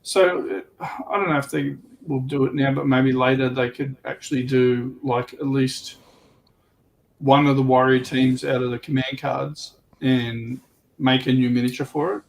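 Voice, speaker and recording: A man's voice: mid-range voice, steady tone, moderate pace, gravelly, low-quality recording. A man chatting casually as a panelist, in a hesitant, rambling way. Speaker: livestream panelist. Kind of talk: casual chat. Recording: faint background noise on livestream mic.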